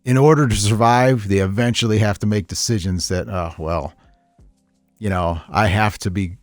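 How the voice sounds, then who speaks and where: Middle-aged male co-host, fairly low pitch, close dry sound, joking and rambling, loose unscripted talk. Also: normal speed, no echo, soft background music. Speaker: podcast co-host. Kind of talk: casual unscripted chat.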